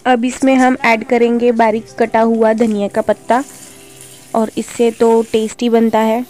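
A woman hosting a home cooking channel, calmly explaining a recipe step by step in a voice-over. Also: a little high, measured pace, faint music behind. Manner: calm, instructive, homely explanations.

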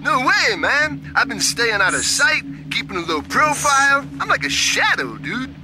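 A man's voice: extremely high pitch, very animated, moderate pace, music in the background. A man voicing a laid-back, dim alien surfer dude in a video game, with slangy slacker talk. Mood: excited, boastful.

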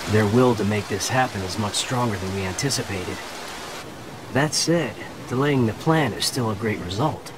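A man's voice: lowish voice, moderate pace, background noise, close to an everyday voice. An actor playing an easygoing, teasing yet resolute young male ninja in an anime dub, casual and earnest. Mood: grave.

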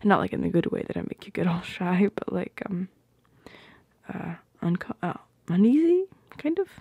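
A woman playing an affectionate, teasing girlfriend in an ASMR roleplay, soft and breathy, often slipping into whispers, close to the mic. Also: fairly low pitch, sing-song, no room sound, highs cut off, heavily acted. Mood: tender, apologetic.

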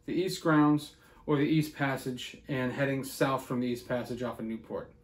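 A man's voice: on the high side, a little mellow, echoey room. A man in his thirties giving a conversational weekly fishing forecast from a living room, with plenty of fillers. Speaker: fishing report host.

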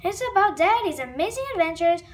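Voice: very high voice, lively intonation, fairly quick. A child hosting a read-aloud of a comic book, stumbling over words and wandering off into asides. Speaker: read-aloud video host. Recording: noisy home recording with room ambience.

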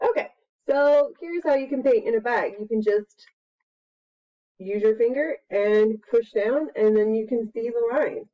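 A woman with an American accent and a fairly high voice talks casually through a hands-on demonstration. She is playful and expressive like a preschool teacher. The sound is slightly dull and lo-fi, as from a webcam in a room at home. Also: unhurried. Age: 30s to 40s. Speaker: preschool teacher giving an art activity demonstration. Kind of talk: casual instructional chat to camera. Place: small plain room at home, indoors. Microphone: computer webcam mic at about arm's length.

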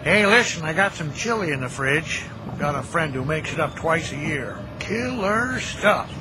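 Lively folksy American caretaker, played by an actor. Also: male voice, on the high side, normal speed, close to an everyday voice. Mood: wry, friendly. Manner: folksy, neighborly, plain-spoken. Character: folksy, kindly middle-aged graveyard caretaker who chats with the dead. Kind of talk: audio drama acting. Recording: noisy outdoor ambience with birdsong.

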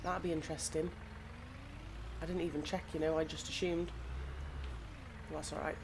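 A woman with a lowish, slightly croaky voice chats easily while playing, musing aloud. Clear background noise hums under her masked-desk-mic recording. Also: normal speed. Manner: chatty, thinking aloud, relaxed. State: slightly croaky from hay fever. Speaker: gaming channel host. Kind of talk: casual gameplay commentary.

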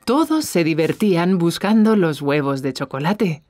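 A woman's voice: lowish voice, big pitch swings, moderate pace, a little mellow, no echo, faint music behind. A woman narrating a scripted children's cartoon story for young listeners.

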